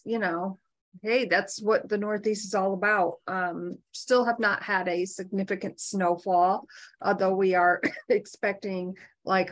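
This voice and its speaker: A woman, co-hosting a podcast in a chatty way with lots of fillers. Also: on the low side. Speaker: co-host of a wellness podcast. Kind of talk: casual chat.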